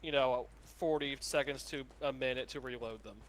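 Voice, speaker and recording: A man's voice: medium pitch, steady tone, normal speed, a crowd in the background. A man chatting casually with friends, hesitant and full of restarts. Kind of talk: casual chat among friends.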